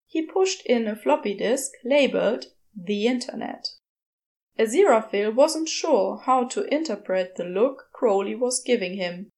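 Female story narrator, higher register, leisurely measured reading of scripted fiction. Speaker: podfic narrator.

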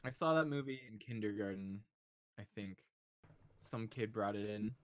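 A man of twenty-seven in relaxed, casual chat, a little hesitant. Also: fairly low pitch, moderate pace, no echo. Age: 20s.

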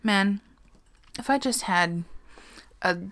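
A woman's voice: mid-range voice, slow, fairly bright tone, no echo. A young adult woman chatting casually as a co-host, gushing and laughing, sometimes dropping to a whisper. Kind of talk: casual chat.

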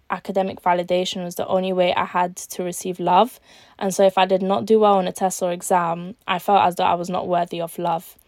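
A woman, hosting her own podcast and reading an earnest, measured script that trails off quietly at the ends of lines. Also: medium pitch, no echo, studio quality. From born in Algeria, raised in East London. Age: late teens. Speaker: podcast host. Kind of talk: scripted solo podcast monologue.

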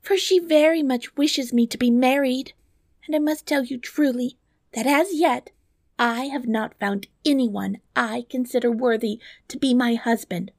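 A woman's voice: high voice, a little slow, darkish tone, dry recording, studio quality, voice pushed up. A woman voicing a proud young princess in a fairy tale, plaintive and exclamatory in her delivery. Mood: sad, earnest. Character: young proud princess, anxious, emotional, indignant. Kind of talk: fairy tale storybook reading.

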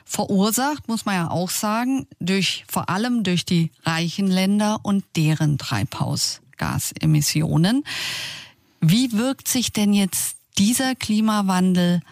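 A woman's voice: medium pitch, expressive, slow pace, no echo, clean studio sound. A woman speaking as the interviewer in a radio segment.

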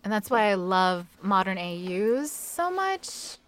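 A woman's voice: mid-range voice, very animated, takes it slowly. A woman hosting a casual fan podcast, chatting with gushing enthusiasm. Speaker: podcast host interviewing a guest.

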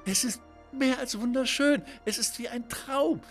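A man voicing an excitable, giggly little girl astronaut full of wonder in a children's audio story, with a squeaky voice. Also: measured pace, faint music behind. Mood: delighted. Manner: giggly, exclaiming.

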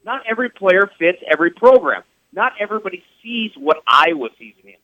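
A man's voice: high-pitched, very animated, brisk, sharp tone, no echo. A man hosting sports radio talk, emphatic and repetitive, firing off rhetorical questions. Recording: dull radio studio sound, dry.

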